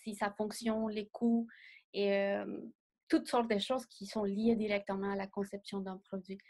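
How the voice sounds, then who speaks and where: A young woman, not a native speaker of the language, answering interview questions as a designer in a measured way and often pausing to find words. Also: medium pitch, level tone, dark tone. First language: not French. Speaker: designer guest.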